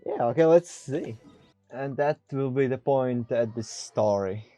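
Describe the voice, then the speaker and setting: A man's voice: mid-range voice, measured pace, dark tone, faint music behind. A man giving casual commentary on his own video game playthrough through a lo-fi microphone. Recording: dull lo-fi microphone.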